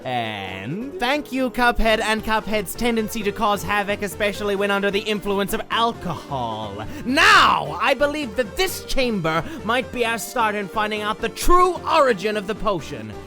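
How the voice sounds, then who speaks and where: A man voicing an excitable, bookish cup-headed cartoon brother in a comic dub, lecturing emphatically. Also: high-pitched, moderate pace, few pauses. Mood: musing, wry. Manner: emphatic, rambling lecture delivery. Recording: loud music behind the voice.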